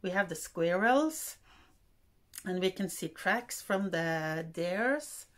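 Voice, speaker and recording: An older woman from Norway with a Norwegian accent, chatting to camera in a halting way as she searches for English words, in a wooden room indoors. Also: lowish voice, lively intonation. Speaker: vlogger. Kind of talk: casual chat to camera.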